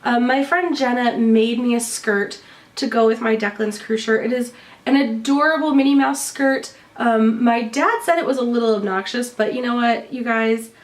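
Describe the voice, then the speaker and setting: A woman's voice: a little high, moderate pace, distant mic. A woman chatting casually to camera with a stuffy nose as she recovers from bronchitis, cheerful and full of ums. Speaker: vlogger showing a shopping haul.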